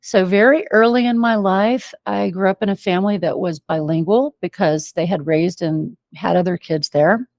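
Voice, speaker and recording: American woman guest on a podcast, lowish gravelly voice, telling personal stories, really dry close sound. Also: normal speed, hardly any pauses, darkish tone, no room sound. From United States, Venezuela and Argentina. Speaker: interview guest. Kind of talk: reflective personal storytelling in an interview.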